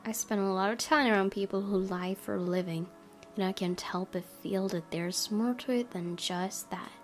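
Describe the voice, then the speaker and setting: A woman's voice: medium pitch, steady tone, normal speed. A woman hosting a gaming video, reading visual novel narration aloud. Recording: game music behind. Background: music.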